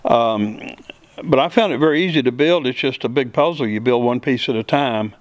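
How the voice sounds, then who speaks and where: A man's voice: medium pitch, normal speed, no echo. A middle-aged man, a builder and pilot, answering interview questions in a plain, step-by-step way with many fillers, recorded on a handheld mic in an open hangar. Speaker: aircraft builder and pilot guest. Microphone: handheld mic held by interviewer.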